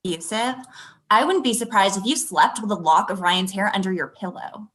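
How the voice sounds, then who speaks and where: A woman's voice: mid-range voice, normal speed, fairly bright tone, natural voice. A young woman acting a hurt, confrontational girlfriend in a naturalistic script read over a video call. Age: young adult. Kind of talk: acted pilot table read, naturalistic. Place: small room at home, video call. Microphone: computer video-call mic.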